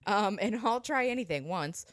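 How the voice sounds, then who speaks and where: A woman's voice: mid-range voice, animated, normal speed, no room sound, studio quality. A woman co-hosting a podcast in casual conversation, often repeating phrases for emphasis. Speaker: podcast co-host. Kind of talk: casual chat reviewing films and books.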